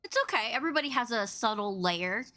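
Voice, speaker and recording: A woman with a loud, boomy voice hosting a casual livestream podcast, effusive, playful and rambling as she chats with her guest. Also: expressive, fast talker. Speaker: podcast host and interviewer.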